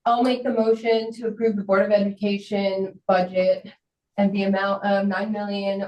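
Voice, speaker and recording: A woman's voice: mid-range voice, darkish tone. A woman speaking as a board member in plain procedural meeting talk. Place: echoey meeting room. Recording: echoey meeting room.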